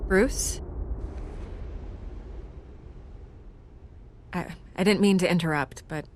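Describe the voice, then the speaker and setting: An actress playing an earnest, persuasive newspaper reporter with an American accent in a video game. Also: female voice, fairly low pitch, moderate pace, darkish tone, lightly acted. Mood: tentative, wry. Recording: music behind the voice.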